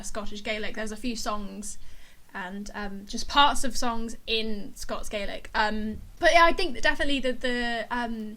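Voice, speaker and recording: A woman co-host with a bright, fairly high voice, chatting casually about music. She speaks with open enthusiasm in a relaxed, conversational way.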